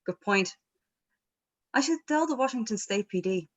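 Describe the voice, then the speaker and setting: A woman's voice: a little high, lively intonation, normal speed, muffled recording. A woman playing a flustered, apologetic rock band manager in a script read, with a hesitant, wry manner. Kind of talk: informal script table read.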